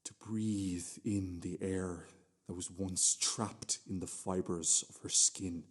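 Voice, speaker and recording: An actor as an unsettlingly intense, lovesick man in a radio drama. His very low voice moves slowly in halting, intimate phrases, brooding over each one. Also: fairly even intonation, breathy edge, full character voice. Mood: intense longing. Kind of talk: radio play monologue.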